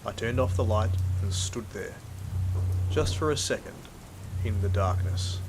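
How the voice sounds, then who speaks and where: A man's voice: fairly low pitch, unhurried, music in the background, natural voice, low-quality recording. An Australian man playing a proud, blunt field hunter who narrates an audio drama in a weary, colloquial way. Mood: weary, brooding.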